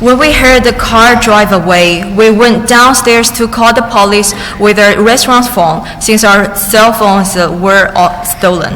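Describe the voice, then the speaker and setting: A woman interpreting testimony into English at a public hearing, halting at times, with restarts and cut-off words. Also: mid-range voice, a bit bright, slight background noise. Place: large public hearing chamber. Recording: echoey hearing room with faint ambience.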